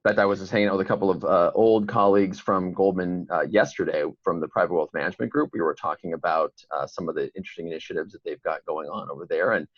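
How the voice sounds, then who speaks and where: A man from Chicago, a guest on an interview podcast, talking quickly and rambling. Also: low voice, dry recording, lo-fi sound. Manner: fast, rambling, many fillers and restarts. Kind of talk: casual interview conversation.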